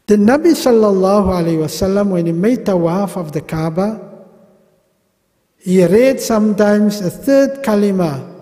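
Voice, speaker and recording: A middle-aged man with a South African accent teaches a religious class in a large mosque hall, speaking slowly into a podium mic. His voice sits high but mellow, and the long reverb of the hall trails behind each phrase. He is animated, gesturing widely and slipping in humorous asides. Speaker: sheikh teaching a pilgrimage class. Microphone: gooseneck podium mic.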